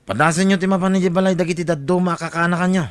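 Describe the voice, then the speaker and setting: Man acting high-voiced, brusque Ilocano family man. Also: steady tone, very fast, dry recording, natural voice. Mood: reluctant. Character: unwelcoming, brusque young family man refusing relatives. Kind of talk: Ilocano audio drama acting.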